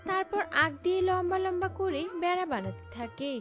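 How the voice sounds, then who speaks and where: A woman with a high voice narrates a story from a script. She works as the narrator of a cartoon tale. Faint music runs beneath her words. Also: lively intonation, a little fast.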